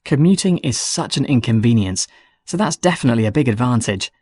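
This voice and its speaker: A man's voice: medium pitch, expressive, a little fast, a little mellow, husky, no echo, clean studio sound. A man playing an interested friend asking questions in a scripted listening-test dialogue.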